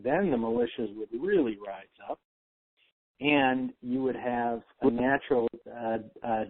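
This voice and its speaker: A man's voice: medium pitch, dry recording, phone-quality sound. Guy hosting a conference call, earnest explainer, chatty, thin phone audio. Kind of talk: casual discussion on a conference call. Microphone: telephone.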